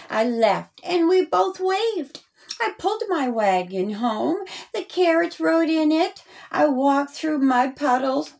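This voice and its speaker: Lady doing kids storytime, rhyming, sing-song, really slow and kinda high voice. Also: gravelly. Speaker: storyteller, author reading own children's story. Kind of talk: rhyming children's storytime read-aloud.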